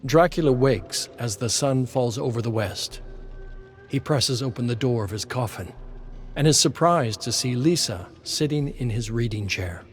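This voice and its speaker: A man narrating a dramatic story from a script in a measured, solemn way. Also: medium pitch, soft background music.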